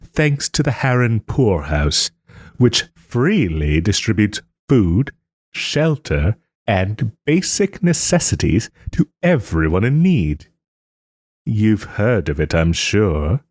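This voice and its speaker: A man playing a proud, sarcastic nobleman in a scripted roleplay audio drama, with haughty, theatrical phrasing and pointed pauses. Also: lowish voice, big pitch swings, husky, dry recording, studio quality, heavily acted. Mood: sardonic, aggrieved. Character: wry, wounded young nobleman lord, sarcastic and proud.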